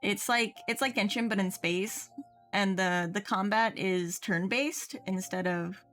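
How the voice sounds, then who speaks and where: A woman's voice: medium pitch, a little slow, a bit bright. Casual woman game streamer over faint music. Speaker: game livestreamer. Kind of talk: casual chat while playing, reading viewers' comments.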